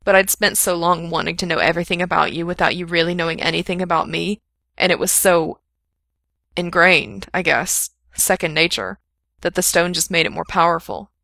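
A woman voicing an anxious, defensive young man who talks in loud, strained bursts, in a low, gravelly voice. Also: fairly even intonation, normal speed, dry recording, clean studio sound. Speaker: reader voicing a character.

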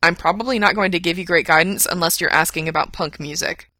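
Woman voicing a forceful, flustered male punk radio DJ. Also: on the low side, fast, no echo, studio quality. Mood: brisk, sarcastic. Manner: emphatic, blunt, on-air patter.

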